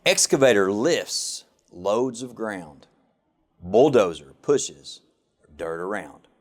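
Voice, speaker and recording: A man reads a children's story aloud in a fairly low voice. His pace is very slow, and his pitch swings widely through each rhyming line, giving it an expressive, sing-song lilt. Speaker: government official reading a children's picture book.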